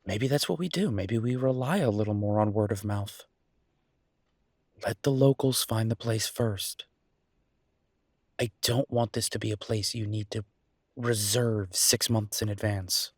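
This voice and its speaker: A man playing an earnest, down-to-earth chef boyfriend in an audio roleplay, talking casually and a little hesitantly in a husky voice. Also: fairly low pitch, measured pace, pauses often, dry recording, studio quality. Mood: hopeful, musing. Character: enthusiastic down-to-earth chef boyfriend opening a mountain restaurant. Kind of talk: audio roleplay acting, casual one-sided conversation.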